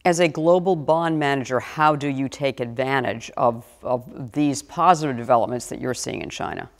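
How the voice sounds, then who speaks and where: A woman hosting a financial interview show, asking brisk, probing questions. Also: extremely low pitch, big-room sound.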